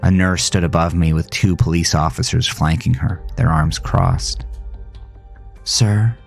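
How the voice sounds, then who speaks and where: A man with a very low voice narrates a first-person horror story. His delivery is somber and dramatic, with deliberate phrasing and a heavy vocal fry. Music plays softly behind him. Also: flat delivery.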